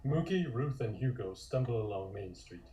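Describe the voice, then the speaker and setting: A man's voice: mid-range voice, expressive, moderate pace, warm tone. A man narrating stage directions from a script at an online table read. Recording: echoey room.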